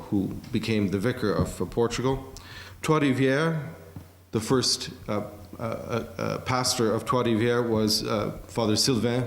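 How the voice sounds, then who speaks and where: A man delivering a prepared academic conference lecture, measured, with occasional fillers. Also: medium pitch. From Canada. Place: museum auditorium. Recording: echoey museum lecture hall.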